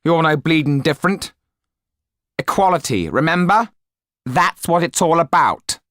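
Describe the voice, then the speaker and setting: A man's voice: a little high, animated, measured pace, fairly bright tone, no room sound, studio quality, voice pushed up. A man voicing an insolent, lizard-like doorman in an audiobook, sneering and brusque at those he turns away. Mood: scornful. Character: insolent lizard-like doorman with hooded eyes, petty revolutionary.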